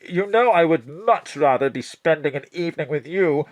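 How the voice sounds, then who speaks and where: A man's voice: on the high side, lively intonation, moderate pace, dry recording, dull audio, natural voice. An actor playing a suave, flirtatious man with a smarmy, suggestive manner in a comic noir audio drama. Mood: smooth, flirtatious. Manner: smarmy, innuendo-laden.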